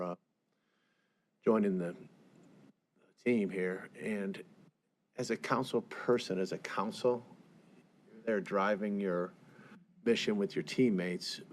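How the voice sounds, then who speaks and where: A man with a slightly low voice makes informal, encouraging remarks at a committee meeting. He rambles in a folksy way, over faint room noise.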